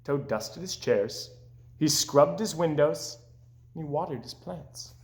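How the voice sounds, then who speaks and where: A man reading a children's story aloud with animated narration, recorded in an echoey room. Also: on the high side, takes it slowly. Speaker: storybook reader.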